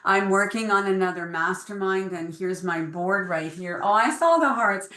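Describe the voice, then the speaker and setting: Enthusiastic bright woman coach, echoey room. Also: mid-range voice. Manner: enthusiastic, promotional. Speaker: empowerment coach. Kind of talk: speaking as guest at an online networking event. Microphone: video call.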